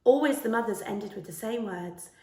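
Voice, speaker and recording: A woman's voice: medium pitch, normal speed, mellow. A woman in her thirties reads a children's story aloud with careful, dramatic expression. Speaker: storyteller reading a children's book. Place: small living room, indoors. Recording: roomy indoor echo.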